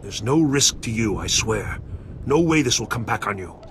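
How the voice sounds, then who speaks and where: A man's voice: on the low side, big pitch swings, moderate pace, fairly bright tone, slight background noise. An actor playing a shady, anxious man in over his head in a video game, talking in a furtive, streetwise way. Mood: anxious, pleading. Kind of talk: video game dialogue acting.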